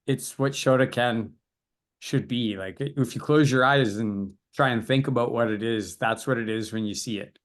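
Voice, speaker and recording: A man's voice: medium pitch, moderate pace. A man speaking casually as a karate practitioner on a podcast panel. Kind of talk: casual chat.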